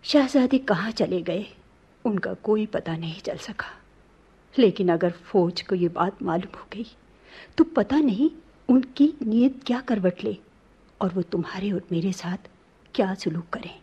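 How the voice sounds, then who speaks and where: Resolute princess in prince's disguise, formal and earnest, slightly husky, radio play. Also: female voice, medium pitch, expressive, measured pace, mellow. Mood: confiding, urgent. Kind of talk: Hindi-Urdu radio play acting.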